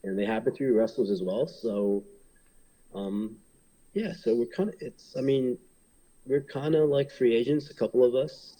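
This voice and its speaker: A man's voice: mid-range voice, fairly even intonation, moderate pace, mellow, poor audio. A man in his thirties from Hong Kong, a pro wrestler, talking casually in an interview and rambling with many fillers and restarts.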